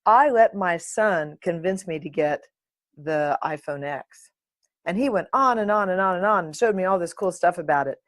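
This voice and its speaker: A woman hosting her own podcast, talking in a playful, animated and uninhibited way, close to the mic. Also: fairly low pitch, normal speed, no echo. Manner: playful, animated, sings and makes silly sounds; uninhibited, swears. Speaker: podcast host. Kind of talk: solo podcast chat.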